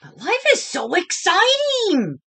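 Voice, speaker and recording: A woman voicing an overexcited young boy shark with loud, bellowing enthusiasm in a storybook reading. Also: extremely high pitch, wildly swooping pitch, slow pace, creaky, voice pushed up, heavily acted. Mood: earnest, sheepish.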